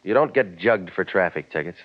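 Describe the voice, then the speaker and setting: An actor in 1940s radio drama playing a cynical, wisecracking private eye, terse and deadpan in narration and dialogue. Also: male voice, low voice, a little fast, dry recording. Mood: terse, needling. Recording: muffled vintage 1940s radio with music.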